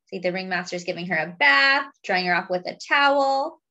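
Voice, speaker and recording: A woman reading a picture book aloud to young children and commenting on the pictures, expressively and with pauses for emphasis. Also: medium pitch, lively intonation, bright, forceful tone, highs cut off. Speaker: children's storybook reader.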